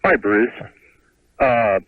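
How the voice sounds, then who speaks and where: A man's voice: medium pitch, lively intonation, extremely slow, no echo. A man, casually asking for advice as a radio caller. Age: 30s. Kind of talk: casual phone question. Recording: dry, tinny phone line on radio.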